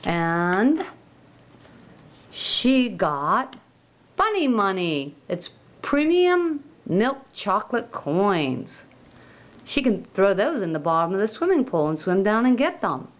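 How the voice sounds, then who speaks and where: A woman hosting a toy unboxing video, gently showing and naming each item in a sing-song way. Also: medium pitch, sing-song, dull audio. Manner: slow, gentle, sing-song show-and-tell.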